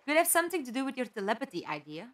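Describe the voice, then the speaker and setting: Casting: actress as plucky, practical, questioning female companion for audio drama; high voice, animated delivery. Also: rapid-fire. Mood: curious, probing. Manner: questioning, direct. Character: inquisitive, plucky, practical young woman companion.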